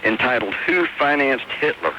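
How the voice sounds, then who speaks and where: Bright-voiced man on a noisy radio broadcast. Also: mid-range voice, very animated, moderate pace, lo-fi sound. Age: middle-aged or older. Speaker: guest author on a radio call-in show. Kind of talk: conversational radio discussion.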